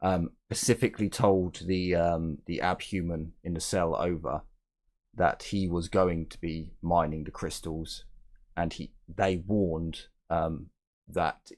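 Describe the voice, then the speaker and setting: A man's voice: low-pitched, fairly even intonation, slow, a little mellow. A man running a tabletop roleplaying game as game master, explaining the scene while searching for words.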